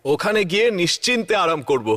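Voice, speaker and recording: A man's voice: high voice, animated, fast talker, heavy background noise. A man voicing a fussy, easily flustered father in a cartoon, speaking emphatically. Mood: firm. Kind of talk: cartoon voice acting.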